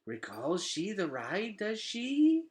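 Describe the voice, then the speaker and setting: Man playing a halting, awestruck giant cockroach, wildly swooping. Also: high-pitched, wildly swooping pitch, pitched above the performer's own voice, full character voice. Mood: wondering. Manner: halting, repetitive, inverted phrasing. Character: awed, reverent giant talking cockroach.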